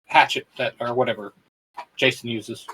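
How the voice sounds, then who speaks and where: A man's voice: mid-range voice, steady tone, normal speed, sharp tone, husky, poor audio. A man co-hosting a casual fan discussion, earnestly breaking down character matchups. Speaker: co-host.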